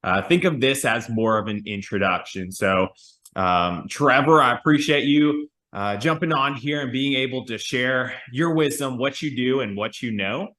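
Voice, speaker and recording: A male podcast host with a middle-pitched voice in a noticeably echoey room. He talks conversationally and haltingly, often rewording a question or thought as he goes. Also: bright, forceful tone. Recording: roomy, echoey sound.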